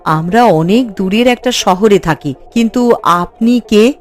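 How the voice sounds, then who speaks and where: A woman's voice: mid-range voice, unhurried, faint music behind, lightly acted. A woman voicing a doting city wife and mother visiting a village in a cartoon. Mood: gentle. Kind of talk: cartoon character dialogue.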